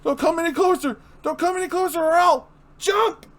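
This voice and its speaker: A man's voice: extremely high pitch, moderate pace, a bit bright, voice pushed up. A man voicing a panicky, hapless man trapped in a stifling mask, stammering frantic pleas in a comic-book dramatic reading. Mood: terrified.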